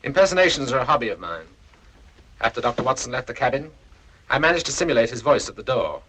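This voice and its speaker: A smug, menacing villain from a 1940s film. His voice is sharp and raspy, and he talks quickly with taunting self-satisfaction. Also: mid-range voice, big pitch swings, poor audio. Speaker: actor playing a film villain.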